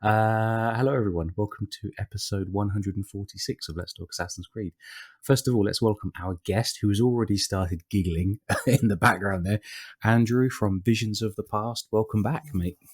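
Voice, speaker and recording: A British man hosting a casual podcast, his voice very low and deep. It is husky and croaky from a lingering cold. He rambles through his points with fillers and frequent chuckles. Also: moderate pace. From Britain. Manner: rambling, laughs often, many fillers. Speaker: podcast host.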